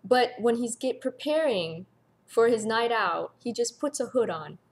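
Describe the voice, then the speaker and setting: A woman's voice: a little high, lively intonation, a little slow. A woman co-host talking casually in a review discussion.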